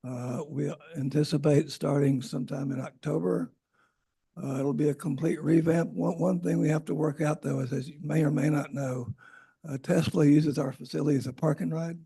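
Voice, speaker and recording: A man's voice: fairly high pitch, fairly even intonation, moderate pace, vocal fry, dark tone, breathy edge. A man giving an informal, rambling presentation at a public meeting, often correcting himself as he goes. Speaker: transit agency presenter. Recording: Zoom meeting audio.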